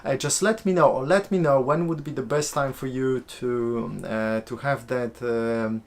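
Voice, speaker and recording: A man's voice: mid-range voice, steady tone, a little slow. A man from Poland with a Polish accent, answering viewer questions on a live stream in a loose, chatty way full of fillers and restarts. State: sweating, warm room. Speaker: host.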